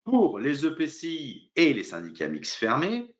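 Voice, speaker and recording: Man, lively intonation, hesitant step-by-step legal explainer, frequent fillers, muffled lo-fi recording. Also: on the high side, measured pace. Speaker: lawyer presenting a legal webinar. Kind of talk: explanatory legal presentation, semi-improvised.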